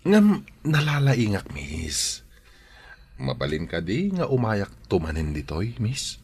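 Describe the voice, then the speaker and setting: An eager, deferential man with an Ilocano accent. His voice sits low and swings widely as he coaxes and pleads earnestly. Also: very animated, takes it slowly, a little mellow, breathy edge, exaggerated voice. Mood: earnest, pleading. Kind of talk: Ilocano radio drama acting.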